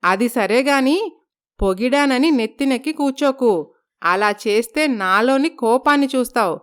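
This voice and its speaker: A woman's voice: high voice, lively intonation, unhurried, clean tone. A woman voicing a stern, proud middle-aged mother-in-law who gives bossy warnings in a storybook. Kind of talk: storybook dialogue.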